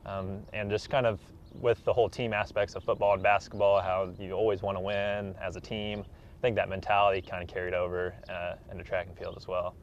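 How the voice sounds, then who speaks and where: A man's voice: sits low, little pitch movement, brisk. A man, answering casually as an athlete being interviewed. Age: 20s. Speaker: interview guest, professional track athlete. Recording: dull, slightly noisy room recording.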